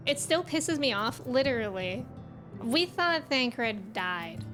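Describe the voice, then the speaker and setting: A woman's voice: fairly high pitch, sing-song, slow pace. A woman livestreaming a game, chatting casually and reacting to the story in slangy speech. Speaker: game streamer. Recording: music behind the voice. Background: game music.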